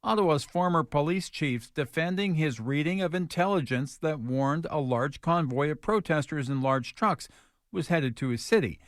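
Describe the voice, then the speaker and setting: A man's voice: fairly high pitch, lively intonation, moderate pace, dry recording, studio quality. A man reading scripted news as a radio anchor. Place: radio studio. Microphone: close studio microphone.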